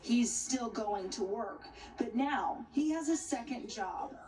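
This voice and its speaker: A woman's voice: on the high side, lively intonation, slow pace, darkish tone, far from the mic, slight background noise, poor audio. A woman reading scripted narration as a television news reporter.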